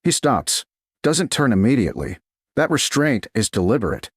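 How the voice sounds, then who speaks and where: Guy narrating a romance audiobook, husky, really swoopy intonation, short dramatic phrases. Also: medium pitch, sing-song, moderate pace, no echo, clean studio sound.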